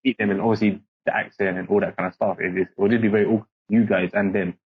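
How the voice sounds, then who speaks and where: A man's voice: on the low side, little pitch movement, racing, husky. A young man with a British accent, talking casually as an interview guest, rambling with lots of "like" and "innit". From Singapore. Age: young adult. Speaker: interview guest, army corporal. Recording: muffled lo-fi audio.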